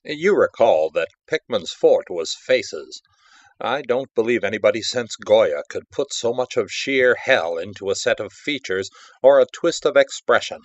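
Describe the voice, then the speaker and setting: A man's voice: on the low side, animated, measured pace, dry recording, lo-fi sound. A man playing a nervy, confiding art devotee who tells a horror story in an emphatic, conversational, theatrical way. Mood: uneasy, confiding. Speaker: audiobook narrator. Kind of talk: dramatic first-person story reading.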